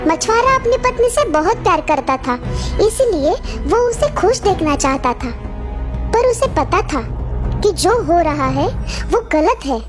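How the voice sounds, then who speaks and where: A woman's voice: very high voice, big pitch swings, unhurried. A woman narrating a scripted children's story. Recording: music behind the voice.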